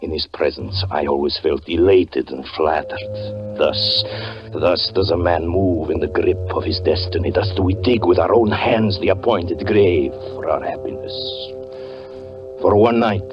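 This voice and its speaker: A man's voice: deep voice, unhurried. An actor playing a tormented, jealous married stage magician, narrating his downfall in theatrical 1940s radio style. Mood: brooding, fateful. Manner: brooding, theatrical, melodramatic. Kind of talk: 1940s radio acting, dramatic first-person narration. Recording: muffled 1940s radio recording with music.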